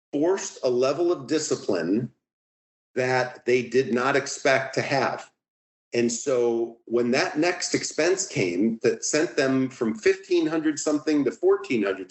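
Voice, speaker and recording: A middle-aged man giving casual, emphatic advice in a conversational interview style, as a podcast guest. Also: medium pitch. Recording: roomy, echoing sound.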